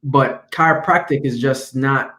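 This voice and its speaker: A man's voice: mid-range voice, a little slow, bright, forceful tone. A young man from Jamaica with a Jamaican accent, a chiropractic student answering interview questions earnestly over a video call from home, with many fillers. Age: young adult. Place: home room, indoors. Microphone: laptop webcam video call. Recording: echoey room on video call.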